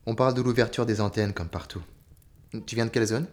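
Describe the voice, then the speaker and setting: A man's voice: on the low side, fast talker, natural voice. An actor playing a terse, guarded young male apprentice in an audio drama, speaking laconically. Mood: reserved.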